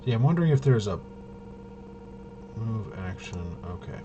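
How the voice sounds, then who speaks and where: A man's voice: on the low side, big pitch swings, normal speed, dark tone, dull audio, slight background noise. A man casually thinking aloud as he plays a tabletop roleplaying game, hesitant and muttering to himself while he checks rules. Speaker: solo tabletop RPG player demonstrating gameplay.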